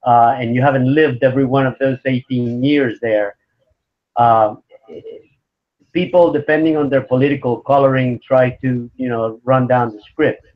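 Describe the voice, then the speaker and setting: A man's voice: mid-range voice, fairly even intonation, moderate pace. Man in his fifties, lo-fi sound. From Venezuela. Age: 50s. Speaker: interview guest. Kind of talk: conversational interview answers.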